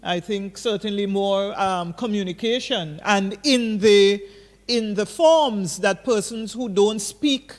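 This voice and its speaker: A man with a notably high voice moderates an online panel over a video call. He reads out questions hesitantly and keeps the speakers to time. His voice carries a long reverb from the room. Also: slow. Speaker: forum moderator.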